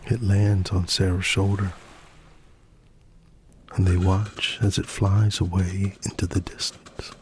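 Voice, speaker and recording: A man gently narrates a bedtime story in a fairly low, hushed voice. His delivery is slow and soothing, often half-whispered, with clear nature ambience and birdsong behind it. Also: little pitch movement, a little hiss or hum. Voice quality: soft, often slipping into whisper.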